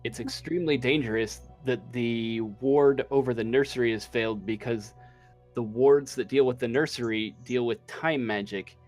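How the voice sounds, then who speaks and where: A man running a tabletop game as game master, improvising the narration haltingly as he thinks aloud. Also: mid-range voice, darkish tone, faint music behind.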